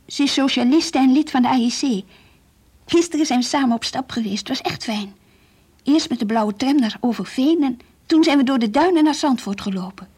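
Earnest, high-voiced devout Dutch teenage girl. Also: a little mellow, slightly rough. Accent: Netherlandic Dutch. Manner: earnest, dreamy, stubborn. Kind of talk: Dutch radio play acting, diary monologue and dialogue. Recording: faintly hissy old radio play recording.

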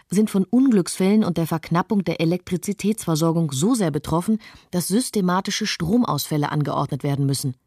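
Female narrator for radio documentary: actress as an observant wife, composed and articulate, notably low and soft-toned, dry studio. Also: slightly rough, no echo. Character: observant, composed wife recalling her flight from disaster. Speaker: narrator of a personal account.

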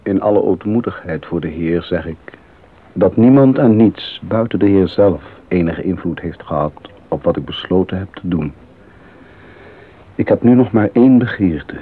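Grave missionary, solemn radio monologue, low voice, thin band-limited recording. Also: male voice, lively intonation, moderate pace, breathy edge. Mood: humble resolve. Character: humble, resolute male missionary writing a letter. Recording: narrow phone-like old radio sound.

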